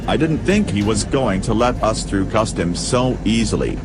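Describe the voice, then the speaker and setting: A man playing a logical, formal droid copilot in an audio drama, with a stiff, precise, deadpan delivery. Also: fairly low pitch, moderate pace, music in the background, lightly acted.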